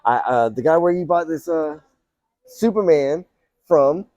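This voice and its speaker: A man's voice: a little high, lively intonation, unhurried, dark tone, no echo, muffled recording. A man born in Colombia and raised in South Florida, hosting a casual podcast chat in a small booth inside a shopping mall, talking in a rambling way with lots of fillers. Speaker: podcast host.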